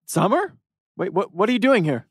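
A man's voice: sits high, big pitch swings, moderate pace, slightly rough, heavily acted. A man acting a panicky, awkward teenage boy in a TV drama table read. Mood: panicked, timid.

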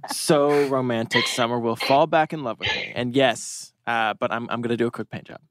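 A man's voice: mid-range voice, steady tone, normal speed, a little hiss or hum, close to an everyday voice. A man playing a nerdy, wry, hopelessly romantic teenage boy in a drama table read, hesitant, wordy and joking. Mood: eager, rambling.

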